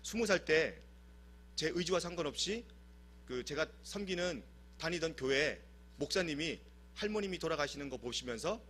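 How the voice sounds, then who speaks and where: A man from South Korea preaching a sermon in church, telling stories in an animated, humorous way. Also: on the high side, moderate pace, slightly rough. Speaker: pastor. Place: church service.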